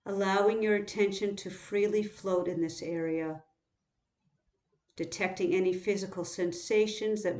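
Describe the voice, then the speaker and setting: A woman guiding a body scan meditation in a calm, unhurried, instructional way, leaving long pauses. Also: medium pitch. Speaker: meditation teacher. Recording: roomy, echoing sound.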